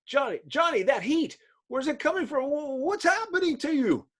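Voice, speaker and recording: A man's voice: extremely high pitch, animated, moderate pace, fairly bright tone, pitched above the performer's own voice. A man voicing a panicky, awestruck young male bystander who stammers and exclaims. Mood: panicked amazement.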